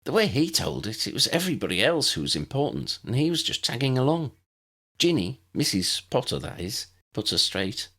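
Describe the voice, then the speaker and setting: Young newcomer girl for audiobook, male performer: high swooping pitch, polite, curious, hesitant. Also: big pitch swings, moderate pace, a bit bright. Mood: thoughtful. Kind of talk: audiobook character voice.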